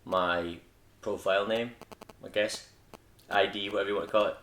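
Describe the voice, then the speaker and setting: A man's voice: lowish voice, steady tone, moderate pace, a bit bright. A young Scottish man hosting a hobby video, chatting casually and rambling a little as he corrects himself. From Scotland. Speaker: hobby channel host. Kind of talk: casual unscripted vlog talk.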